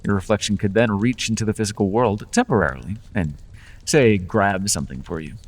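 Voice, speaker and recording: A man's voice: on the low side, brisk, long reverb tail, close to an everyday voice. A man playing a sly, coaxing Fae dealer. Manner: coaxing, playful salesman patter. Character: charming male Fae dealer, persuasive and sly. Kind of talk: ASMR roleplay.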